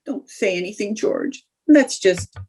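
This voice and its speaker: A woman's voice: mid-range voice, animated, unhurried, background noise, natural voice. A woman playing a playful, scheming housewife in old-time radio comedy, with a coaxing, theatrical manner.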